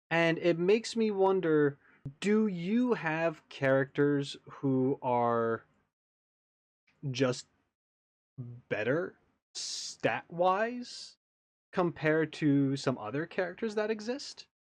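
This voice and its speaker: Animated man giving casual reaction commentary. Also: fairly high pitch, dry recording. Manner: musing, informal. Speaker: reaction video host.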